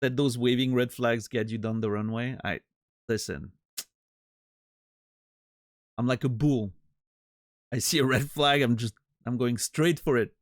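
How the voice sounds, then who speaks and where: A man's voice: medium pitch, fairly even intonation, a little slow, long pauses, no echo, studio quality. Rambling, joking male streamer. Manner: rambling, self-interrupting, joking. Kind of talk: casual chat with live chat.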